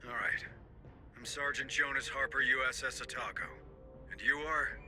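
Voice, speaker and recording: An actor playing a tough, suspicious marine squad leader who speaks in a curt, commanding way in a video game. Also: male voice, medium pitch, normal speed, pushed and bright, soft background music. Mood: guarded, stern. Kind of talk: video game cutscene acting.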